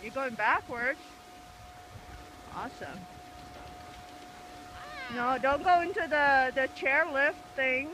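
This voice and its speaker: A woman's voice: high voice, slow, long pauses, sharp tone. A woman chatting casually while she films on a phone outdoors on a ski slope. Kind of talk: casual chat while skiing and filming. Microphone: handheld phone. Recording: noisy outdoor phone recording with handling clicks.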